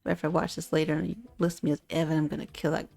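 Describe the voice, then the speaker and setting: A woman's voice: low voice, level tone, racing, creaky, mellow, faint music behind, voice pushed down. A woman playing a brooding, threatening male killer in a dating sim game, with a grudging manner. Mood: grudging menace.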